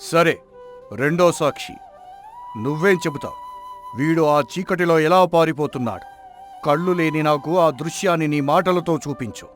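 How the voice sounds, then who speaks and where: A man playing a wise blind king in a folk tale, questioning deliberately with pointed sarcasm. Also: a little high, soft background music, close to an everyday voice. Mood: commanding. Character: wise blind king, shrewd and righteous father. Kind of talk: dramatised Telugu folk tale acting.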